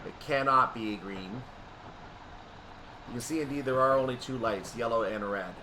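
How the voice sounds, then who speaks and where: A man's voice: medium pitch, normal speed, noisy background. A man giving explanatory, methodical gameplay commentary, thinking aloud as he plays a train simulator. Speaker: video game streamer playing a train simulator.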